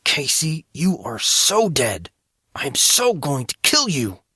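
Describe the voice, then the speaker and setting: A man's voice: on the high side, wildly swooping pitch, slow, sharp tone, husky, dry recording, voice pushed up, exaggerated voice. Man voicing a cocky, sarcastic young hero. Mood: exasperated. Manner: dry, teasing, smug.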